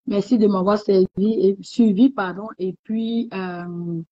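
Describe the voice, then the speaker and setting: A woman talks casually to her followers in a motivational livestream, warm and rambling, and she often repeats words. Her voice sits at an average pitch. The sound is close and dry, with a slightly dull, lo-fi tone. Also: steady tone, a little slow, no room sound. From West Africa. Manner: warm, chatty, repeats herself, many fillers. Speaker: livestream host.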